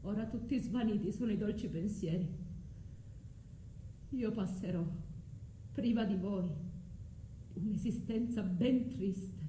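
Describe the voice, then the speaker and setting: An actress playing a vengeful, tormented foreign sorceress, delivering an intense, declamatory stage monologue in a radio drama. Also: female voice, mid-range voice, dark tone, slight background noise, natural voice. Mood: anguished. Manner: declamatory, intense, slow and drawn out. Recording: echoey room with music underneath.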